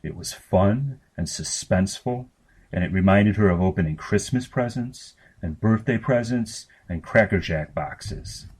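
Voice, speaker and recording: A man reading fiction aloud as scripted narration, in a matter-of-fact, deadpan manner. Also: on the low side, unhurried, breathy edge, poor audio. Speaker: story reader.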